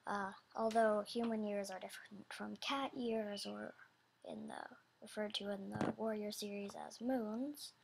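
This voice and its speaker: A woman's voice: medium pitch, fairly even intonation, unhurried, creaky, heavy background noise. A woman giving a casual, loosely scripted announcement about animation characters in a hesitant, rambling, apologetic way. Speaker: animator describing characters for voice auditions.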